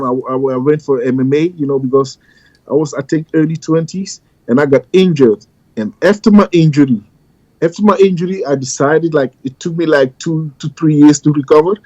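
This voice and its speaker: Male interview guest, halting delivery; slightly high voice, dry dull audio. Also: darkish tone, no echo. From Ghana, raised in the Netherlands. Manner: halting, many "you know" fillers. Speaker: guest, pro bodybuilder. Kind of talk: casual interview chat.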